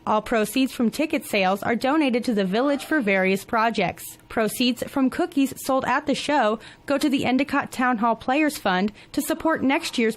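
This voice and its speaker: American lady doing a local tv news report, scripted, kinda high voice.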